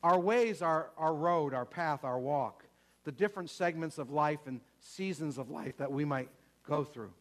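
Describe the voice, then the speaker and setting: A man with a notably high voice delivers a sermon with strong emphasis. He repeats his key phrases and puts questions to his listeners. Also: a little slow. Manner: emphatic, repetitive, asks the congregation questions. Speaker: preacher.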